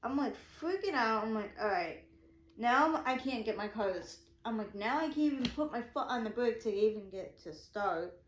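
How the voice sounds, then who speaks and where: A woman's voice: a little high, lively intonation, moderate pace, a little hiss or hum. A woman in her twenties telling a story casually, rambling and repeating herself as she quotes her own thoughts. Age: 20s. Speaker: vlogger. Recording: echoey room.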